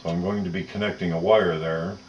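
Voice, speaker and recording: Male tutorial presenter, low register, methodical and detailed, talking while working, with slight background noise. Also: fairly even intonation, muffled recording. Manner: methodical, detailed, unhurried explaining. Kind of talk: step-by-step hands-on instruction, talking while working.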